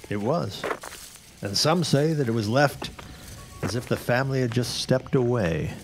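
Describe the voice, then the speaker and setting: A man's voice: mid-range voice, expressive, a little slow, faint music behind. An actor playing a wary, grave 1910s newsman in a radio drama, speaking in formal, old-fashioned phrases. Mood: grave, foreboding. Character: grim, wary 1910s newsman and worried father. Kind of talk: period radio drama acting.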